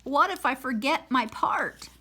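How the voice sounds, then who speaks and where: A woman's voice: sits high, animated, unhurried, bright, forceful tone, slightly rough, voice pushed up, exaggerated voice. A woman voicing a shy, worried young male cat in a storybook read-aloud, speaking timidly and hesitantly.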